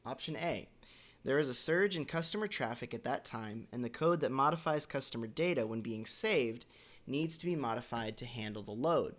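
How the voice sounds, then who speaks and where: Hoarse man teaching in a casual way. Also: medium pitch, lively intonation, fairly bright tone. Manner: measured, slightly halting, with occasional throat clearing. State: hoarse, recovering from a cold. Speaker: developer educator hosting a weekly question. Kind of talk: casual instructional talk.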